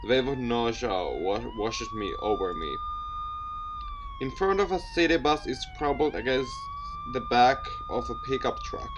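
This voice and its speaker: A man's voice: mid-range voice, wildly swooping pitch, measured pace, highs cut off, noisy background. A man hosting a let's play, reading visual novel text aloud with commentary and often tripping over words and repeating them. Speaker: gaming video host.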